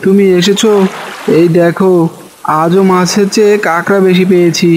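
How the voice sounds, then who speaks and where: Male actor as doubtful village fisherman, cartoon folk tale, high pitch, plaintive questioning, faint ambience. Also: flat delivery, moderate pace, slight background noise, natural voice, low-quality recording. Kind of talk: animated folk tale dialogue.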